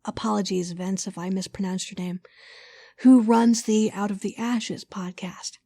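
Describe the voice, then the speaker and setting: Bright-voiced woman hosting a podcast. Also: mid-range voice, fairly even intonation, unhurried, no room sound. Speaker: podcast host and interviewer. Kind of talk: casual podcast announcements and interview.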